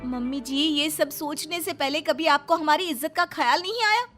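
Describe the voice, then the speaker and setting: A woman's voice: high voice, moderate pace, a bit bright, faint music behind, pitched above the performer's own voice. A woman playing an indignant daughter-in-law in a melodramatic family story, scolding and reproachful, fearful of shame.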